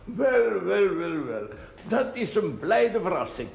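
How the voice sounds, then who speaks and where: An actor playing a courtly old parish priest, ceremonious and effusive, in a television period drama. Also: male voice, high-pitched, very animated, slow pace, gravelly, exaggerated voice. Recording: echoey reverberant hall, noisy TV soundtrack.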